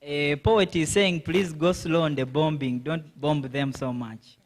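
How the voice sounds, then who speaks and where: Guy running the q and a at a conference, chatty, bit high voice. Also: fairly even intonation, measured pace. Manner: chatty, with frequent fillers and "okay". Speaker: moderator. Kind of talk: event moderation. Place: conference hall.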